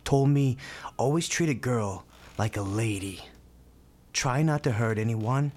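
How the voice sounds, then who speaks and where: A man acting a gentle young New guy in a radio play. He speaks very slowly and haltingly, in plain street words, guarded but soft at heart. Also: mid-range voice, natural voice. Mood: shy, wistful. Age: young adult. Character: gentle, guarded young male hospital volunteer from the Bronx.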